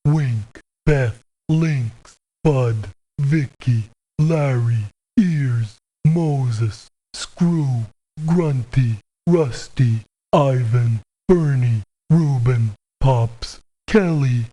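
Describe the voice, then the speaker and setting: A man's voice: mid-range voice, drawn-out words, mellow, rough recording. A man voicing a grumpy, combative video game mercenary who talks in terse, colloquial, confrontational lines. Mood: flat, terse. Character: grumpy, combative male mercenary, stubborn and folksy. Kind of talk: video game character voice acting.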